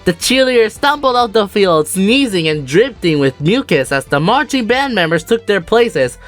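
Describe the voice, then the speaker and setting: Animated woman storybook narrator. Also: mid-range voice, normal speed, faint music behind. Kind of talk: storybook narration for a fan dub.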